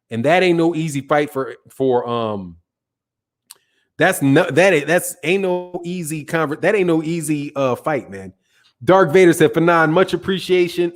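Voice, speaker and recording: A man hosting a casual boxing livestream, reading viewer comments and arguing in loose, slangy banter that keeps trailing off and restarting. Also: a little high. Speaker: boxing channel host.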